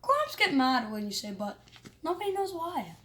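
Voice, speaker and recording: A high-pitched reader reading a children's story aloud in an animated but halting way, with small stumbles.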